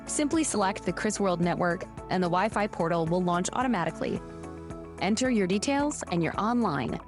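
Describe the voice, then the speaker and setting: A woman's voice: mid-range voice, expressive, normal speed. A woman delivering scripted promotional narration as an announcer for an airline video. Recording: loud music under the voice. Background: music.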